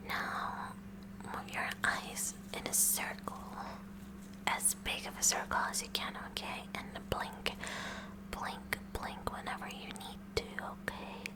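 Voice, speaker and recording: Girl-next-door asmr, a woman playing a kooky curious science club president, all whispers, slow repeated instructions. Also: natural voice. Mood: focused, instructing. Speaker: ASMR roleplayer.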